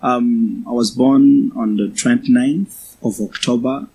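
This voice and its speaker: A man in his twenties with a Ugandan accent, giving a personal testimony as a guest speaker to a church, in a measured, halting way. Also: medium pitch, vocal fry, warm tone, slight background noise, low-quality recording. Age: 20s.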